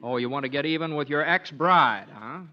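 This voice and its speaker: An actor playing a brash, wisecracking male tabloid writer in a 1940s radio drama, indignant and quick with a retort. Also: fairly high pitch, natural voice. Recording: muffled old radio broadcast.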